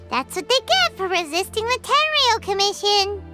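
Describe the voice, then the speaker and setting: A woman voicing a small, childlike fairy companion in a video game, with a cute, squeaky voice and an expressive, earnest manner. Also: measured pace, heavily acted. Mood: unimpressed. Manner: refers to self in third person, expressive. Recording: loud music under the voice.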